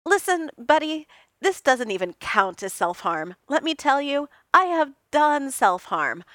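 A woman voicing a sarcastic, self-pitying man who snaps and whines loudly. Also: sits high, very animated, measured pace, no echo, studio quality, pitched above the performer's own voice, full character voice. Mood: exasperated. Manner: snappish, whiny, loud.

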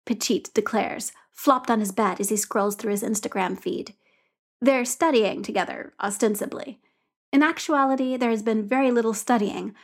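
A woman reading a story aloud in an expressive, gently paced narration. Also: on the high side.

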